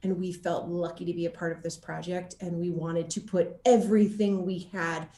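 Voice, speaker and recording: A woman actor chatting casually in an interview and telling anecdotes in an animated, laughter-prone way. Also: lowish voice, normal speed, reverberant.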